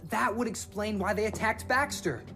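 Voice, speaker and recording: An actress playing an eager, bookish researcher in a video game, explaining things in an excitable way. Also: female voice, mid-range voice, moderate pace, soft background music, close to an everyday voice. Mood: excited. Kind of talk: video game cutscene acting.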